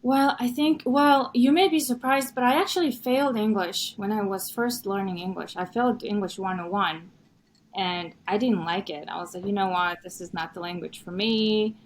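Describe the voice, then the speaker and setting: A young woman from Mongolia, whose first language is Mongolian, chatting as a podcast guest in an animated way full of "you know". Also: medium pitch, normal speed, a little hiss or hum. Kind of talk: conversational interview.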